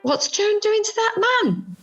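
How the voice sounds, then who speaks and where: A woman's voice: very high voice, wildly swooping pitch, slow, a bit bright, full character voice. A woman acting a cheerful, gossipy, supportive middle-aged friend in an amateur radio play, exclaiming and chatting. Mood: alarmed, watching. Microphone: video call from home. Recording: home video-call recording with music.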